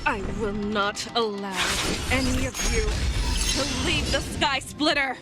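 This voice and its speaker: A woman's voice: high voice, measured pace, loud music behind the voice. A woman playing a stern, commanding warrior in a game cutscene. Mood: defiant, forbidding. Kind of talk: dramatic game cutscene acting.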